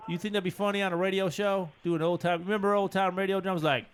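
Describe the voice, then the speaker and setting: A man's voice: high-pitched, fairly even intonation, quick pace, slight background noise. A man co-hosting a podcast with casual, joking banter. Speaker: podcast co-host.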